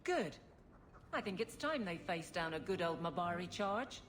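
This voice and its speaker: A woman's voice: on the low side, lively intonation, normal speed, natural voice. An actress playing a practical, friendly female guard captain in a fantasy video game, affectionate and no-nonsense. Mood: fond, brisk. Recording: loud background noise.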